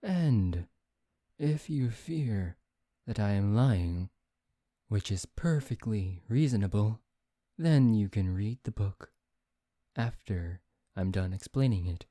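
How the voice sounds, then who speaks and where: A man playing a suave, proud vampire who teases one listener in an intimate, halting ASMR roleplay. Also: lowish voice, lively intonation, warm tone, no echo, clean studio sound. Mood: calm, explanatory. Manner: teasing, haughty, halting, addressing one listener. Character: suave, teasing male vampire, proud and quick-tempered.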